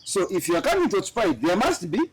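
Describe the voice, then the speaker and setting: A man from Tanzania hosting a political talk show, animated and quick to laugh. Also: high voice, slight background noise. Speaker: show host.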